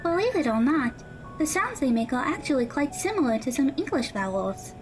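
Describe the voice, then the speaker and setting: Over background music, a woman plays a studious teenage girl with a very high, animated voice. She is earnest and encouraging, choosing her words precisely and formally, in the manner of an audio drama. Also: fairly quick. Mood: encouraging, helpful.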